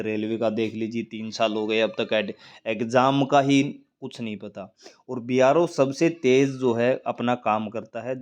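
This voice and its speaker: Male coaching teacher, mid-pitched voice, informal instructive address to students, repeats for emphasis. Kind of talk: informal exam guidance talk to viewers.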